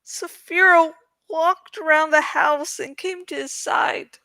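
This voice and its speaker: High, bright woman reading a novel aloud. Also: fairly even intonation, slow pace, vocal fry, no room sound. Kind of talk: dramatic audiobook-style reading with casual asides. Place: home study, close dry recording.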